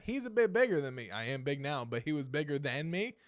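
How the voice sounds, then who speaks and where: A man chatting as a podcast guest, telling comic stories in an animated, slangy way and swearing freely. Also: on the high side, fast, no echo, clean studio sound. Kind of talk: casual comic storytelling chat.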